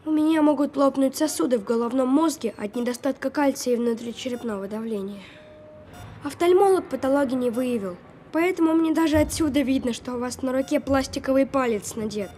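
A woman as a high-voiced sarcastic sick boy. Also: a little fast, mellow, slight background noise, lightly acted. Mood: dry, sceptical. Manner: dry, know-it-all. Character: sick twelve-year-old boy, sceptical, sarcastic, precocious. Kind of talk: audio drama acting.

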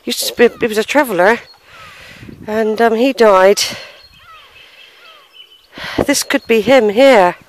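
A woman's voice: on the high side, slow, long pauses. Musing woman filming an outdoor churchyard walk. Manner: musing, hesitant, sighs, reads gravestones aloud. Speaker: family history vlogger. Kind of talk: casual walking vlog, thinking aloud. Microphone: handheld camera, unseen narrator behind it.

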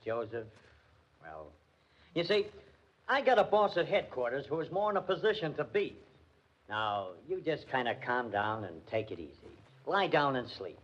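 An actor playing a blunt, suspicious police lieutenant in a 1940s radio drama, with an American accent and a colloquial hardboiled manner. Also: male voice, medium pitch, sing-song, moderate pace, a bit bright. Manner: hardboiled, colloquial, hesitant interjections. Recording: muffled vintage 1940s radio recording.

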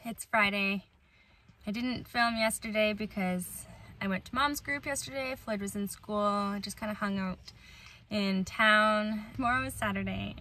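A woman in her thirties talks casually to a handheld camera at arm's length. Her voice is bright and forward, at a middling pitch. She is playful and self-deprecating, and there is clear noise behind her. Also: rough recording. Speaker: family vlogger, mother. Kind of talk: casual chat to camera about daily chores. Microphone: camera or phone at arm's length.

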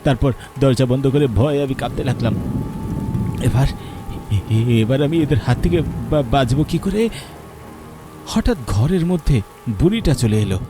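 A man's voice: medium pitch, expressive, normal speed, long pauses, over music. A man narrating a horror story in a dramatic, fearful way.

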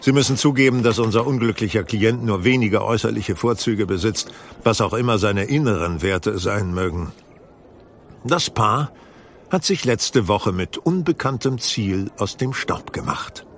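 An actor playing a composed, wry detective in an audio drama, explaining things in a measured, articulate way with dry irony. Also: male voice, on the low side, slight background noise, lightly acted. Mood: measured, explanatory. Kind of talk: German audio drama acting.

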